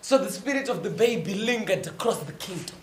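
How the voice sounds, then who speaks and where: A woman narrating a scripted fable theatrically as a stage storyteller, deliberate and dramatic, with repetition and long pauses. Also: mid-range voice, expressive, recorded from a distance, very noisy. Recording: echoey stage recording from afar, music behind.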